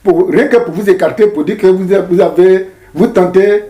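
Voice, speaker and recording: A man's voice: sits high, fairly quick, warm tone. A man, asking questions conversationally as the interviewer. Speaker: interviewer, host. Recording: roomy, echoing interview sound.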